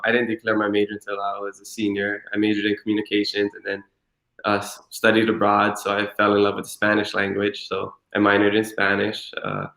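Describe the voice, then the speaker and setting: A man in his twenties from Hilo, Hawaii, a guest telling his story in a relaxed, rambling way full of "you know" and "like". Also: fairly low pitch, reverberant, rough recording. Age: 20s. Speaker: interview guest. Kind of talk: casual conversational storytelling.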